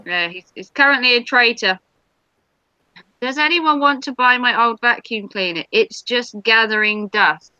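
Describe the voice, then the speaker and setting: Bright English woman, teasing banter. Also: on the high side, measured pace, clear, resonant tone, no room sound, dull audio. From England, Norfolk. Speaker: vlogger hosting a live stream. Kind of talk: casual chat.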